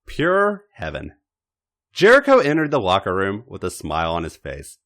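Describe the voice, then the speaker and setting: A man reading a story aloud in a dramatic, expressive narrating style, with theatrical emphasis and deliberate pauses. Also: deep voice. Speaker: podcast host reading fan fiction.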